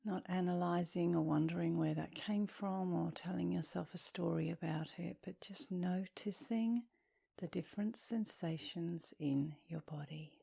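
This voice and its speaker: A woman leading a guided meditation as an instructor, speaking softly and soothingly, with gentle, breathy phrase endings. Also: fairly low pitch, steady tone. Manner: soothing, gentle, unhurried with long pauses.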